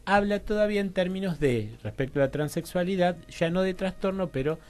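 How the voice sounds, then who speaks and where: A man with an Argentine accent giving an academic explanation as a radio guest, halting and didactic, often checking that he is followed. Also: sits high, a little mellow. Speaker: interview guest, university teacher and researcher.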